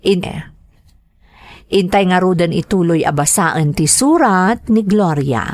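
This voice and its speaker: Low-pitched Filipino woman radio host. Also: animated, slow pace, a little mellow, no room sound, clean studio sound. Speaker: radio host and letter reader. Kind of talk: radio greetings and letter narration.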